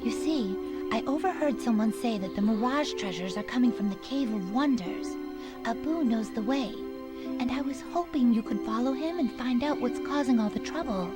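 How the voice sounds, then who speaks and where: A woman voicing a gentle, polite young princess in a video game, earnestly asking for help. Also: on the high side, normal speed, close to an everyday voice. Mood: earnest, pleading. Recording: game audio under loud music.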